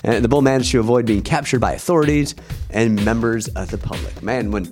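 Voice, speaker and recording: A man's voice: mid-range voice, a little fast. A man hosting a country music radio show in an upbeat, promotional style. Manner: energetic, promotional radio patter. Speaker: radio host. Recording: music bed under the voice.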